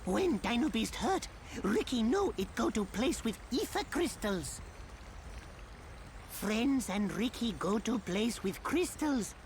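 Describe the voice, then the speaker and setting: A woman's voice: fairly high pitch, animated, slow pace, darkish tone, slight background noise. A woman voicing a small, furry, cheerful male creature in a video game, childlike and eager, exclaiming in broken third-person speech. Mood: confident. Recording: music behind the voice.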